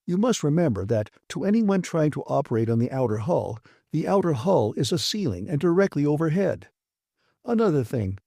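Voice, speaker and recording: A man voicing a gruff, blunt and defiant male maintenance chief in an audiobook, arguing emphatically. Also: a little high, big pitch swings, moderate pace, mellow, no echo, full character voice. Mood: dismissive, insistent. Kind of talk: dramatised audiobook reading.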